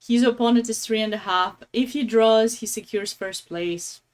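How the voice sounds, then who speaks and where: A woman's voice: fairly high pitch, normal speed. A woman from Romania with a Romanian accent, giving casual, chatty live game commentary as a streamer, with affectionate asides.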